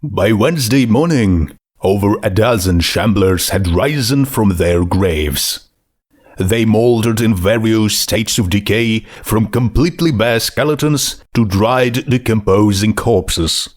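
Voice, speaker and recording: Male audiobook narrator, lowish voice, very unhurried, deliberate delivery of comic fiction. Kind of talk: scripted story narration.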